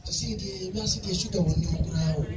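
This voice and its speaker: A man's voice: sits high, fairly even intonation, fast, close to an everyday voice. A man playing an agitated, exclamatory character in a live outdoor stage skit, repeating his phrases. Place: open-air courtyard at a festival. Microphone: handheld microphone, heard through distant camera. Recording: echoey PA from far off, faint music.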